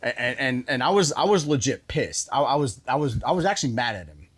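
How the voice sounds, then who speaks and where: A man's voice: medium pitch, sing-song, fast talker, husky. A man chatting casually as a show host, telling stories and repeating phrases for emphasis, with some mild swearing. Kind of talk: casual storytelling chat.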